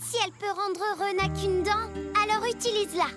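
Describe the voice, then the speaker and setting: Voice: very high-pitched, fairly even intonation, fairly quick. A performer voicing a kind, brave little pirate girl captain in a children's cartoon. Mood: gentle, reassuring. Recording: loud music behind the voice.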